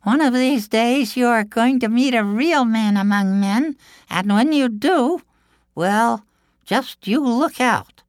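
A man voicing an exasperated, nagging village wife in a folktale, with drawn-out, emphatic falsetto delivery. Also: clear, resonant tone, no echo, studio quality. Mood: warning, exasperated.

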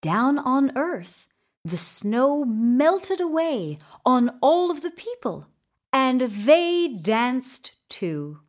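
Female children's storyteller: high-pitched, animated, expressive, lingering on dramatic pauses. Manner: expressive, deliberate, dramatic pauses for young listeners. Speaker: storyteller reading a children's book. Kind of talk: scripted children's story narration.

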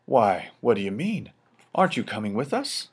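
A man's voice: sing-song, normal speed, breathy edge. A man reading a storybook, voicing a bluff navy admiral who roars out commands. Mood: hearty commanding. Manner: loud, booming, commanding.

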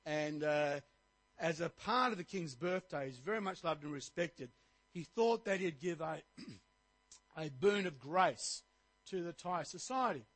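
Middle-aged man, pastor, animated sermon delivery, fairly high voice, dry close sound. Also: unhurried, husky, no room sound, low-quality recording. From Australia. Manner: animated preaching, rising to emphatic points.

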